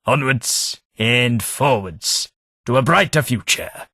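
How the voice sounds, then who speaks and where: An actor playing a formal, polite, long-lived London gentleman in a game, speaking with measured diction. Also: mid-range voice, expressive, a little hiss or hum. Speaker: game companion. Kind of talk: game voice acting.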